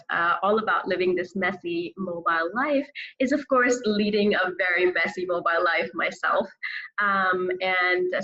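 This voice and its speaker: A woman's voice: medium pitch, muffled recording. A woman from Pakistan telling her personal story conversationally as an interview guest. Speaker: guest author.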